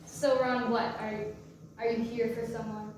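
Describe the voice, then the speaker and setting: A woman playing a guarded, sardonic young divorced mother in a staged reading of a play, with a halting, wry delivery. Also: a little high, little pitch movement, darkish tone, close to an everyday voice. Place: large echoey room, recorded from far off. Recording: distant, very echoey room with faint noise.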